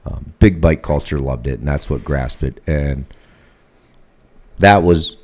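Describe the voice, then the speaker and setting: A man co-hosting a casual podcast, rambling through a story with animated asides. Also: a bass voice, unhurried. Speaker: podcast co-host.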